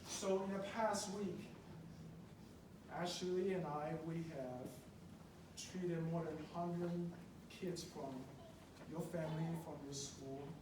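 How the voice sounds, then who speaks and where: This is a speaker from Taiwan addressing a public meeting with great emotion. The delivery is emphatic and builds by repeating phrases. The voice reaches a distant microphone through heavy room echo, with clear background noise. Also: mid-range voice, slow, pauses often. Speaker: public speaker at a school board meeting, treats patients. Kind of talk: impassioned public speech. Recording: distant mic in echoey, noisy meeting room.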